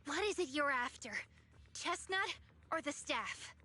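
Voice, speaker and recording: A woman's voice: high-pitched, animated, measured pace, pushed and bright, soft background music, exaggerated voice. An actress playing a principled young video game heroine who speaks with earnest, resolute defiance to protect her people. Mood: guarded, questioning. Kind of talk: dramatic video game voice acting.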